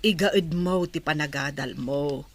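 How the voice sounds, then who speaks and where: A woman playing a loving, earnest mother giving gentle advice in an Ilocano radio drama. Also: low voice, measured pace. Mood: tender, earnest.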